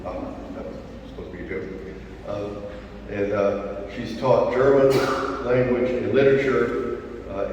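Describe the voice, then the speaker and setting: A man with a lowish voice introduces a guest speaker, halting often on filler sounds. He is picked up far from the microphone in a large, echoing hall with a long reverb tail. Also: background noise. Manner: halting, many filler uhs, dry joking aside. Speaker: event host introducing the speaker. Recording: distant mic in echoey hall.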